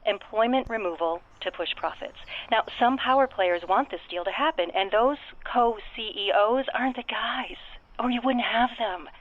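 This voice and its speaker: An actress playing a brash, cynical professional escort calling a web show, blunt and slangy, through a telephone filter. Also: female voice, on the high side, normal speed. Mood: sardonic, sure. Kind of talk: audio drama acting. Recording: narrow tinny phone line.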